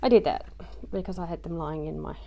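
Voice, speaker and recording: A woman's voice: low-pitched, fairly quick, vocal fry, dark tone. A woman casually talking through a craft project at home, rambling with lots of ums and little self-deprecating asides. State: voice just recovered from loss. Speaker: craft journaling vlogger.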